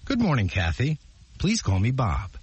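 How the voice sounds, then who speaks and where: A man's voice: medium pitch, huge pitch swings, normal speed, slightly rough, full character voice. A man playing a courteous American interview guest in a scripted English lesson, answering simply and politely.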